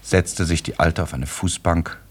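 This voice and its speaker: A man narrating a literary radio play as a composed, dryly ironic storyteller, with a husky voice in measured delivery. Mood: composed, wry. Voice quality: very deep, husky. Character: wry, composed male storyteller of a literary novel.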